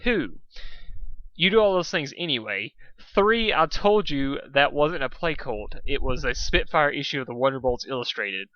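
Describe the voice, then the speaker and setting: Storybook role: lovestruck small male dragon, voiced by a man, eager and bright, slightly muffled, dry sound. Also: medium pitch, moderate pace, no echo, voice pushed up. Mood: exasperated, threatening. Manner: excitable, smooth-talking.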